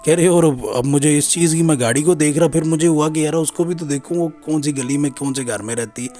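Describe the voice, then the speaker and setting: A man's voice: fairly high pitch, a little fast, warm tone. A man informally retelling a listener's scary story as a narrator, in a conversational way with repeated reporting phrases. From Pakistan. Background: music.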